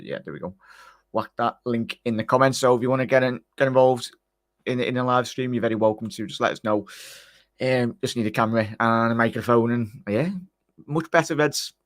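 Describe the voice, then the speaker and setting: A young man with a croaky voice, rambling casually through a live stream reaction and greeting viewers by name. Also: medium pitch, no echo, studio quality. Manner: chatty, rambling, filler-heavy. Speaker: fan channel live stream host.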